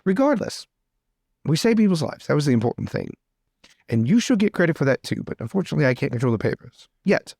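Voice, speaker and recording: A fussy young lord, played by a man in a tabletop game. His voice is on the high side and swoops about with a creaky edge. He stammers, eager to please and theatrically exasperated. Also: very animated, quick pace, a little mellow, no room sound, clean studio sound, full character voice. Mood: coaxing. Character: fussy, eager-to-please young male aristocrat, vain and flustered. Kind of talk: improvised tabletop roleplay.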